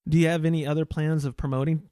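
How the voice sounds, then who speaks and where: A man's voice: a little high, level tone, quick pace, warm tone, no echo, clean studio sound. A man hosting a casual podcast interview, chatty and given to joking, teasing asides. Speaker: podcast host. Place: indoor studio.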